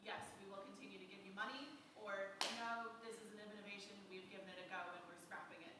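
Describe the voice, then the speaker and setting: A woman in a conference audience asking a question, self-assured and chatty, with a loud voice. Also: medium pitch, steady tone, quick pace. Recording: distant, echoey hall with noise.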